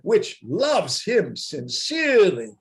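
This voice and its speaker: A man playing a flustered, excitable anarchist orator in an amateur radio play reading, stammering and halting theatrically over a video call. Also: sits high, full character voice. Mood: nervous oratory.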